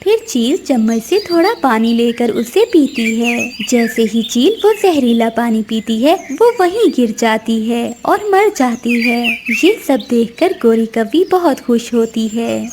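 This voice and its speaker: A woman's voice: fairly high pitch, animated, a little slow, few pauses. A woman storyteller reading a scripted children's story narration. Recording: noisy track with bird sounds.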